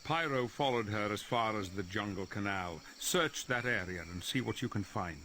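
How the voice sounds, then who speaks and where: A man playing a composed, authoritative professor, briefing his team in a measured, formal way in a video game. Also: on the low side, sharp tone, slight background noise. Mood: calm. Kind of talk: video game cutscene acting.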